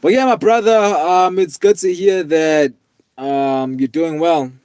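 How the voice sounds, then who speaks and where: A man in his twenties, tired, chatting casually with viewers on a live stream in a relaxed, rambling way with lots of fillers. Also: on the high side, a little slow, smooth, dry recording. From South Africa. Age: 20s. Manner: laid-back, rambling, many fillers, calls viewers man and bro.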